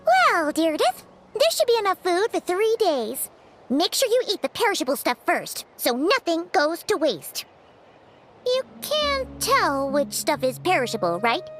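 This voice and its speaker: A woman voicing a small, childlike fairy companion in a video game, perky, excitable and protective, with an exclamatory way of talking. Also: very high-pitched, unhurried, exaggerated voice. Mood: helpful, cheerful. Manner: refers to self in third person, exclamatory. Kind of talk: animated video game voice acting. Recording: music behind the voice.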